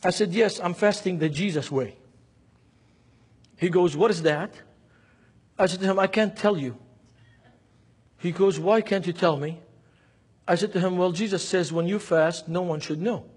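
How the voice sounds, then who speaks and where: Male seminar preacher, Lebanese accent, high husky voice, emphatic storyteller who drives points home by repeating them. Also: normal speed, pauses often, darkish tone. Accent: Lebanese Arabic. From Beirut, Lebanon. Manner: emphatic, acts out dialogue, repeats key phrases. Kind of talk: seminar preaching with anecdotes and rhetorical questions.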